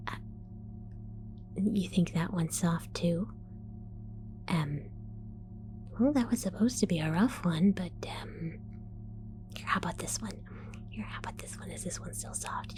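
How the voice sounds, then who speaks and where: A woman voicing a scatterbrained female mad scientist in an ASMR roleplay, whispering softly, giggling and stammering as she falsely reassures. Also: lowish voice, natural voice. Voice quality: soft whisper.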